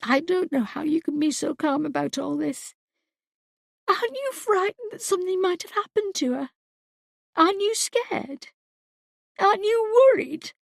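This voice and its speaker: A woman voicing a distraught mother whose daughter is missing, pleading and shouting accusations at her husband. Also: medium pitch, flat delivery, slow, vocal fry, no echo, clean studio sound. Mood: frantic.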